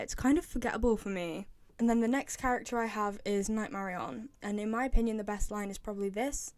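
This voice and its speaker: A young British woman with a fairly high voice hosts a casual commentary video. She repeats phrases for emphasis, and the sound is close and dry. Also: darkish tone, no room sound. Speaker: video host, game fan.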